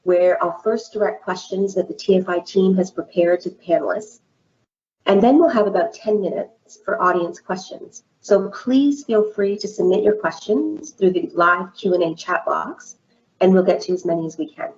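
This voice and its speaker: A woman's voice: on the low side, a little mellow, dull audio. A woman moderating a virtual panel discussion, introducing speakers and posing prepared questions in an orderly way. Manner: measured, scripted, orderly.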